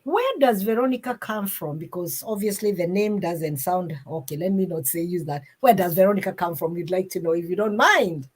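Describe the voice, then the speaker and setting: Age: adult. Sex: woman. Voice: husky. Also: on the low side, a little fast, few pauses. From Kenya. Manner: animated, stumbling over repeated words. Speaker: interview host. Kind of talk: casual interview chat.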